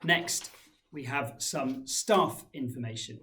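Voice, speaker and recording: A man in his forties, a head teacher giving a formal school assembly address, measured and reading from a folder in a small room. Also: medium pitch, bright, forceful tone. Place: small indoor room with framed pictures.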